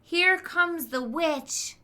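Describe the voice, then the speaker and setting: A woman voicing excitable schoolchildren in a picture book, exclaiming with heavy emphasis. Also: very high voice, drawn-out words, pitched above the performer's own voice, exaggerated voice. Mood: hushed alarm. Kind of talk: picture-book read-aloud for children.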